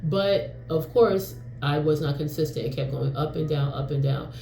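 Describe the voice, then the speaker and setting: Mellow-voiced woman in her forties, rambling. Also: sits low, normal speed, vocal fry, roomy sound, poor audio. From New York. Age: 40s. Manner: rambling and frank, with frequent fillers and mild swearing. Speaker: vlogger. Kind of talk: casual talking-to-camera vlog.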